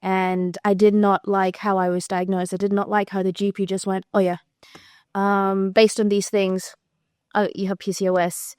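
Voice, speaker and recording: A young woman with an Australian accent, a physiotherapist guest chatting casually on a podcast and telling animated stories with acted-out quotes. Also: medium pitch, moderate pace, clear, resonant tone, no room sound, clean studio sound.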